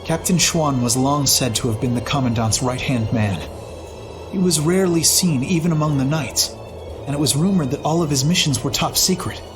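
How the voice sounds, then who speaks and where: A man voicing a solemn, courteous young knight captain in a video game, formal and measured, with music behind. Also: on the high side, close to an everyday voice.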